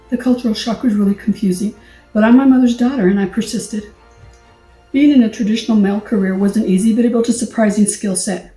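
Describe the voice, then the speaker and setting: Brief: woman in her 60s from El Paso, earnest award speech, mellow tone, echoey room heard from afar, faint music. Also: mid-range voice. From El Paso, Texas. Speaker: award recipient. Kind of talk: prepared acceptance speech. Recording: echoey room, distant mic, soft music.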